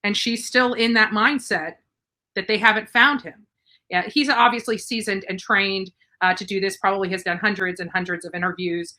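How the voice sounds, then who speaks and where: A woman's voice: medium pitch, steady tone, normal speed, bright, forceful tone. A woman commenting on a true crime case in a casual, chatty way, with fillers and restarts.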